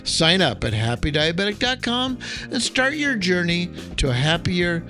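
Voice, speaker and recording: A man's voice: high voice, sing-song, normal speed, over music. A man hosting a podcast with an enthusiastic, emphatic delivery, as if reading an upbeat script. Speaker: podcast host, chef.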